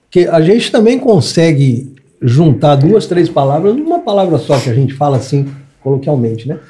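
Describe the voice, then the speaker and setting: A man's voice: on the high side, big pitch swings, moderate pace, dark tone. A man co-hosting a podcast, chatting in an easygoing, agreeable way. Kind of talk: casual podcast conversation. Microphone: podcast studio mic.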